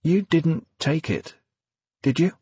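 A man reading an audiobook, voicing a frightened, earnest young woman with a husky, raised voice that climbs into shrill cries. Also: fairly quick, darkish tone, dry recording, distant mic. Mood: nervous. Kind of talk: audiobook character voice.

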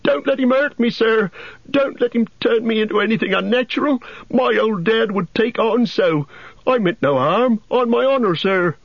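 A man's voice: on the high side, lively intonation, measured pace, gravelly, breathy edge, no room sound, muffled recording, pitched above the performer's own voice, full character voice. A man voicing an eager, fretful young male hobbit gardener who talks in a humble, rustic, flustered way. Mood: flustered pleading. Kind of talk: audiobook character voice.

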